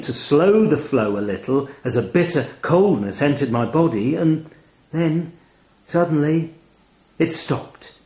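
A man's voice: fairly high pitch, a little mellow. A man reading a first-person short story aloud with wry, dramatic, literary delivery. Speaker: story reader. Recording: roomy, echoey voice recording.

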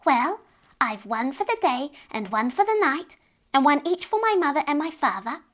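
A woman's voice: high-pitched, very animated, normal speed, a bit bright, voice pushed up. A woman voicing a tiny, mischievous fairy girl who speaks in a sing-song way, sounding a little distant.

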